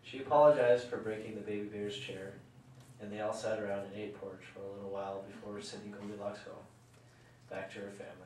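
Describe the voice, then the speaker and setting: A man's voice: lowish voice, level tone, quick pace, a little mellow. A man narrating a scripted storybook tale as part of a puppet show. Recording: very echoey room, distant, faint noise.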